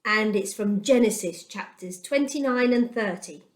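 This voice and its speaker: A woman reading a children's Bible story aloud as a storyteller, with dramatic, deliberate delivery and emphatic pauses. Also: medium pitch, reverberant.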